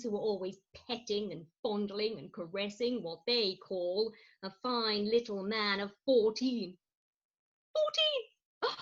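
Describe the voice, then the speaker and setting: A woman playing a fretful, well-bred middle-aged mother in a staged reading of a Victorian farce. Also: mid-range voice. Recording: dull lo-fi remote recording.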